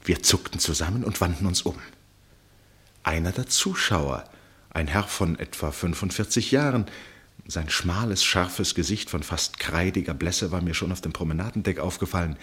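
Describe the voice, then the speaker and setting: An actor narrating a literary radio play as a keenly observant, fascinated storyteller, with dramatic pauses and hushed phrase endings. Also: male voice, low voice, husky. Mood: hushed suspense. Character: male storyteller, keen fascinated observer of a chess match.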